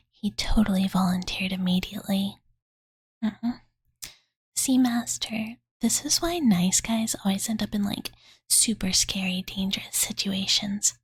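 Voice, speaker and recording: A woman voicing a cute, devoted dragon girl in a soft, breathy ASMR bedtime-story whisper, gentle and casual, close to the microphone. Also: mid-range voice, no room sound. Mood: cute musing. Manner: slow, gentle, valley-girl filler "like", refers to self in third person. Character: cute, childlike dragon girl, devoted and slightly pouty, telling bedtime stories. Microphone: close studio condenser microphone, dry.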